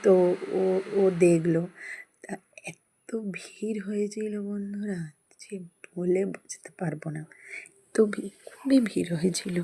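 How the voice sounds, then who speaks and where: A woman's voice: mid-range voice, steady tone, a little slow, vocal fry, long pauses, warm tone, slightly rough. A woman with a West Bengal Bengali accent narrates a casual vlog in a chatty, rambling way, often repeating herself. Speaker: vlogger. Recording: noisy crowded ambience behind the voice.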